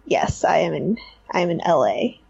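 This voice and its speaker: A woman's voice: fairly low pitch, sing-song, a little slow, creaky, a little mellow, breathy edge. A woman joining an online chat, easygoing and upbeat with brief replies. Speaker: guest co-host. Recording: dull lo-fi call audio.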